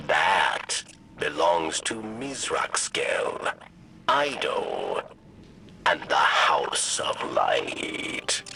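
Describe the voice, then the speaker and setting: Deep, gravelly old alien exile. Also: male voice, huge pitch swings, noisy background, exaggerated voice. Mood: resigned, solemn. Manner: halting third-person speech in broken phrases. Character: weary old alien insectoid exile, mournful, reflective. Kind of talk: scripted dramatic monologue for a video game.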